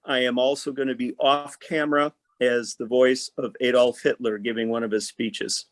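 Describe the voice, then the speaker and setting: A talkative man with a medium-pitched voice speaks as a guest actor on a podcast. He explains his work in an orderly, conversational way. The sound is dry, with very little room in it. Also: level tone, normal speed, dry recording. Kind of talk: casual conversation about work.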